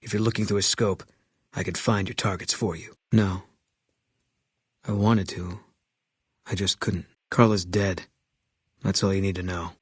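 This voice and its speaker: An actor playing a terse, bitter ex-army sniper in a video game, speaking in clipped, blunt lines. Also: male voice, sits low, steady tone, a little slow, long pauses, husky, no echo, studio quality. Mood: laconic. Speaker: companion character in a video game. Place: recording studio. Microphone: close studio microphone.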